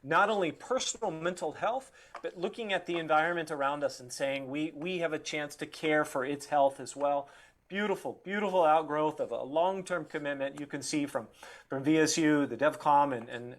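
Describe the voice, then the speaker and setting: A man giving a formal, reflective speech as a guest, earnest and measured, with emphatic phrasing. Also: a little high. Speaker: guest speaker.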